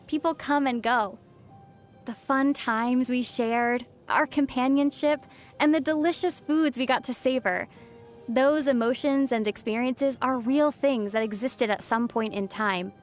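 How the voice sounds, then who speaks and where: Gentle, high-voiced young female traveler. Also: measured pace. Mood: wistful, reflective. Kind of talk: video game dialogue acting. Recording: faint music under the voice. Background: faint background music.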